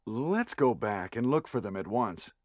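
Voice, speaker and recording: Stilted game soldier. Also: male voice, lowish voice, measured pace, no room sound, clean studio sound. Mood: stiff. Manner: flat, stilted line reading. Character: adult male special forces soldier. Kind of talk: 1990s video game dub voice acting.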